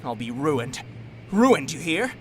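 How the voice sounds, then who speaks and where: An actor playing a desperate, indignant company president, pleading and insistent, in the style of old radio melodrama. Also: male voice, sing-song, slow pace, fairly bright tone, soft background music, full character voice. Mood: desperate, demanding. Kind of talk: 1940s radio melodrama acting. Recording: faint vehicle rumble behind the voice.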